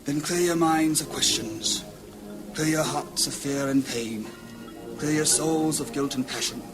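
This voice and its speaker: An actor playing a grave, commanding archmage in a high fantasy audio drama, speaking formally and solemnly. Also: male voice, measured pace, lightly acted. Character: grave male archmage leading a council of wizards. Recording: loud orchestral music behind.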